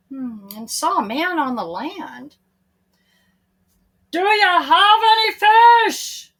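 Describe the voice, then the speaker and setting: A woman's voice: mid-range voice, fairly bright tone, husky. A woman reading a children's story aloud expressively, speaking to her young listeners in asides.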